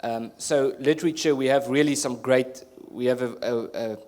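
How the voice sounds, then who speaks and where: A man's voice: mid-range voice, normal speed, darkish tone. A man from South Africa with an Afrikaans accent, a native Afrikaans speaker, answering as a guest at a panel discussion in an earnest, explanatory way, often restarting his sentences. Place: conference hall.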